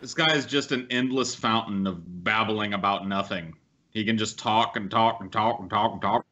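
A man with a lowish, sharp and forceful voice comments casually as a podcast co-host. He is blunt and mocking, on slightly muffled, lo-fi audio. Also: normal speed.

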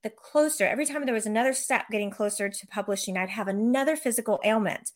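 A woman's voice: medium pitch, quick pace. A woman author and coach talking in a casual conversation, explaining her ideas earnestly with occasional restarts.

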